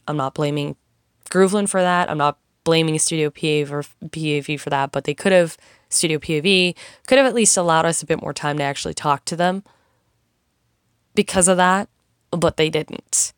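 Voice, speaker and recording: A woman's voice: sits low, clear, resonant tone, dry recording, studio quality. A young woman talking casually and frankly, rambling and swearing as she vents. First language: English. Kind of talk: casual monologue, venting.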